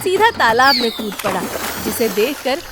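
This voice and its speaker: A woman's voice: fairly high pitch, big pitch swings, moderate pace, background noise. A woman reading scripted storytelling narration for a cartoon tale.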